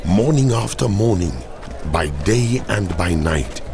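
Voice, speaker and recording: An actor playing God in a dramatized Bible reading, grave, deliberate and authoritative. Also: male voice, on the low side, lively intonation, background noise. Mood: stern, commanding. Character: God, solemn commanding male deity pronouncing judgment.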